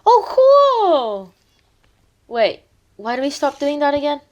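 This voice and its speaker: A woman's voice: sits high, wildly swooping pitch, slow pace, lo-fi sound, exaggerated voice. A woman playing a prim, earnest schoolchild with grown-up airs in an audio drama. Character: studious, precocious schoolchild nephew.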